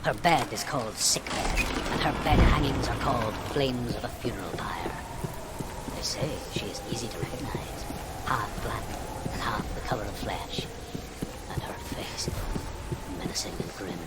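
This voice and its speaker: A man playing a grave storyteller of old myths in a video game, measured and ominous, phrases trailing into hush. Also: on the low side, lightly acted. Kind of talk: solemn scripted mythic storytelling in a game. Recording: loud ambient noise behind the voice.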